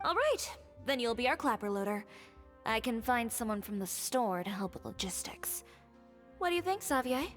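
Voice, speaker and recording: A no-nonsense businesswoman in a video game. Her fairly high voice is blunt and decisive, with long reverb and music behind it. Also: big pitch swings, normal speed. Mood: commanding. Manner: decisive, blunt, take-charge. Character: brisk, no-nonsense businesswoman, blunt but generous.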